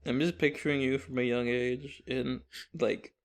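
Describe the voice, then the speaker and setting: A man's voice: medium pitch, level tone, normal speed. A young man co-hosting a podcast in casual comedic chat, rambling through his stories with plenty of swearing. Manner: joking, profane, rambling storyteller. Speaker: podcast co-host.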